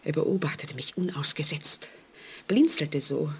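An actress playing a frightened woman in an old radio play, speaking anxiously and haltingly with dramatic intensity. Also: low voice, slightly rough, exaggerated voice. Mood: haunted, dread. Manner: anxious, dramatic, halting, sometimes dropping to a whisper. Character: frightened single woman telling police of a stalking convict. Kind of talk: 1940s German radio play acting.

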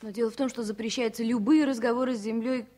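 Actress as sad, guarded flight attendant, mellow higher voice. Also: female voice, steady tone, rapid-fire, close to an everyday voice. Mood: hurt, restrained. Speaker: radio drama actor. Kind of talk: 1970s Soviet radio play acting. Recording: faintly noisy vintage radio recording.

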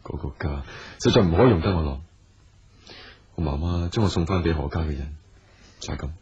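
An actor playing a patient, persistent businessman in a radio drama, speaking calmly and earnestly. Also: extremely low pitch, fairly quick, slightly rough, dull audio, exaggerated voice. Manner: calm, earnest and probing.

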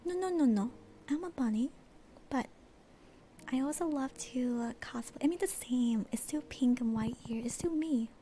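A young woman streamer with a slight accent, chatting with viewers in a soft, airy ASMR whisper, relaxed and rambling. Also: sits high, normal speed, a little hiss or hum. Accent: slight non-American accent. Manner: relaxed, rambling, reads chat aloud. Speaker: VTuber streamer.

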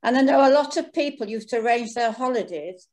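A woman's voice: a little high, fairly quick, a little mellow. An older woman from the north of England with a Northern English accent, sharing memories cheerfully as an audience member on a video call. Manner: cheerful, laughs easily.

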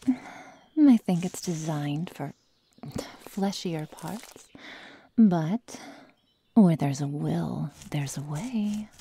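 A woman playing a sadistic young werewolf girl in an ASMR roleplay, coldly teasing and menacing in soft, breathy, often whispered speech close to the microphone. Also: low-pitched, full character voice. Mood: sadistic calm. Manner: slow, soft, mock-tender menace. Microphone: close microphone, dry sound.

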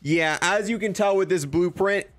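A man's voice: high-pitched, moderate pace, a bit bright, no room sound. A young man giving casual, enthusiastic, slangy commentary as he reviews a video game. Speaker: gaming video creator.

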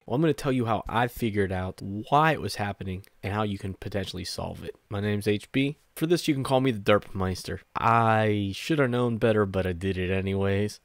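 Male streamer, thirties, slightly low voice, casual and playfully self-deprecating explainer, dry close-mic recording. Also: a little fast, hardly any pauses, dry recording. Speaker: streamer and video host. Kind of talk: casual tech tip to camera. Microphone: desk microphone up close.

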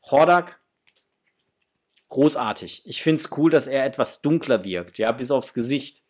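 A middle-aged man talks casually to his viewers as the host of an unboxing video. His voice sits at a medium pitch. He sounds enthusiastic and generous with his approval, speaking from a small room at home. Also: lively intonation, a little slow. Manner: enthusiastic, gushing. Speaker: host of a toy collecting channel.

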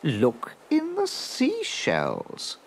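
A magical seabird for a storybook tale. The voice leaps very high and shrieks. Each call is long and drawn out. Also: male voice, wildly swooping pitch, a little hiss or hum, exaggerated voice. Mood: urgent, calling. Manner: drawn-out, repetitive calling.

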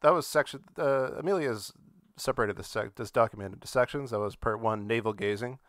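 A man's voice: mid-range voice, a little fast, a bit bright, no room sound, clean studio sound. A man reading aloud on a casual live comedy podcast, dropping in wry asides. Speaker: podcast panelist and reader.

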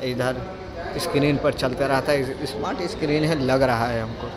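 A man's voice: medium pitch, fairly even intonation, normal speed, a loud crowd. A man in his twenties with a Jharkhand accent, narrating a casual walk-through vlog straight to viewers on a phone held at arm's length. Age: 20s. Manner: addresses viewers directly while walking around. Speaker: vlogger. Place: large indoor mall and cinema lobby. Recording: loud music over phone vlog audio. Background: loud music.

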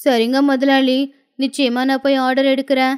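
Woman as a high-voiced gentle little boy. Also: flat delivery, normal speed, smooth, lightly acted. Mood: obedient. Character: small obedient boy, affectionate little brother.

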